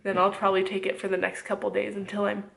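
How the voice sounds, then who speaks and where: A woman's voice: mid-range voice, completely flat delivery, fast, vocal fry. A young woman with a stuffy, congested nose from a cold, chatting casually and rambling to the camera from her home kitchen, a bit short of breath. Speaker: vlogger. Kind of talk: casual vlog talk to camera.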